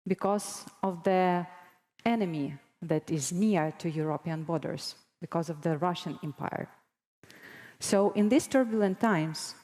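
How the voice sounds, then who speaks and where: A woman in her thirties with a Ukrainian accent, giving an earnest, deliberate prepared presentation as a guest speaker. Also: fairly low pitch, mellow. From Mariupol, Ukraine. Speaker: guest speaker, broadcast board member. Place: large conference hall, on stage. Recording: long hall reverb.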